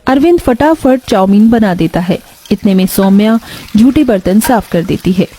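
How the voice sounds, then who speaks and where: A woman's voice: medium pitch, lively intonation, normal speed, dark tone. A woman reading a scripted moral story as its narrator.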